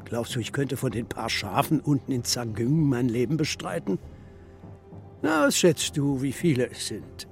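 An actor playing a stubborn, gruff old herder grandfather with a curt, commanding, scornful manner in a children's radio drama. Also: medium pitch, very animated, normal speed, soft background music. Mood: gruff, proud. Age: older.

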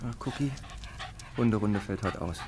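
A man's voice: lowish voice, moderate pace, very noisy, natural voice. A man playing a wry, easygoing father with a dry, teasing manner in a radio play. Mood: mildly firm.

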